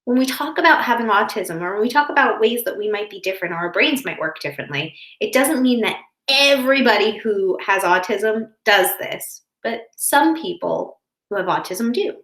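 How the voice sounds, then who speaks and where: A woman's voice: medium pitch, expressive, normal speed, fairly bright tone. A woman teacher reading and explaining a picture book to young children in simple, careful language. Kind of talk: children's read-aloud with explanatory asides. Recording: roomy, echoing indoor sound.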